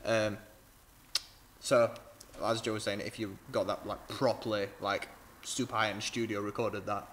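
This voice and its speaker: A man's voice: lowish voice, flat delivery, unhurried, a bit bright, a little hiss or hum. A young man hosting a music review, talking casually with many fillers and frank opinions. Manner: rambling, filler-heavy, frank.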